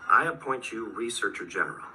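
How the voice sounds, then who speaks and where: An actor playing a thoughtful, wary man who narrates and speaks in a horror radio drama, in a measured, sober way. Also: lowish voice, lively intonation, bright, forceful tone. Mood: serious, hesitant. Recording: distant replayed radio drama, faint hiss.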